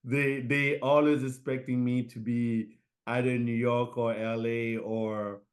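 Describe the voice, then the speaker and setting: A middle-aged man from Haiti answering interview questions, halting with many fillers and restarts. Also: medium pitch, flat delivery. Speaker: guest, fashion designer.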